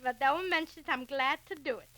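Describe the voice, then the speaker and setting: A warm, flustered immigrant mother in a 1940s radio comedy-drama. Her voice is high and bright, with a New York Yiddish accent. She repeats herself effusively and turns her phrases around. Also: a little fast, slight background noise. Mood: cheerful, gushing.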